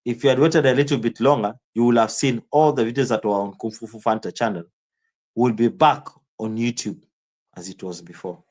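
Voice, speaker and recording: A man's voice: medium pitch, brisk, a little mellow. Kenyan man rambling through a livestream. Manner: rambling, answers chat comments by name. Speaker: live streamer. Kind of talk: casual livestream commentary.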